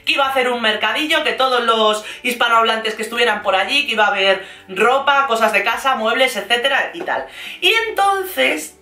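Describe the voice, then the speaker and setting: A woman with a Castilian Spanish accent chatting casually and playfully as an online host, in an animated, gossipy, slangy way. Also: a little high, brisk, reverberant. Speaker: YouTube host recapping reality TV. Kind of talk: casual, chatty vlog commentary.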